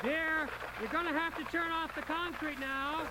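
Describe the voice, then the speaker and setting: A man's voice: very high voice, steady tone, moderate pace, background noise. A man playing a blithely cheerful, accident-prone husband who hosts a do-it-yourself radio comedy, instructive and unruffled by disaster. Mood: pleading. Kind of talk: parody how-to radio comedy.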